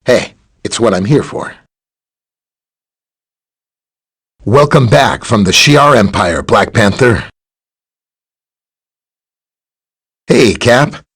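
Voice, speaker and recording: An actor playing a helpful, earnest scientist superhero in a video game, explaining technical matters in a friendly way. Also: male voice, on the low side, lively intonation, measured pace, fairly bright tone, husky, heavily acted. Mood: friendly, welcoming. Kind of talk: scripted game dialogue, explaining and briefing.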